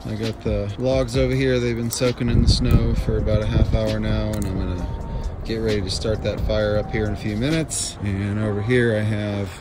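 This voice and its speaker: A man's voice: on the low side, little pitch movement, moderate pace, very noisy. A man in his forties talking casually and matter-of-factly to a handheld camera outdoors, explaining things in a camping vlog. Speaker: outdoor vlogger. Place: outdoors at a snowy campsite.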